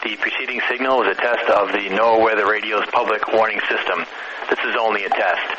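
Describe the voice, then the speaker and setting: Lowish guy reading weather radio test script, muffled with loud noise. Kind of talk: scripted emergency test announcement. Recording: dull, noisy lo-fi radio broadcast.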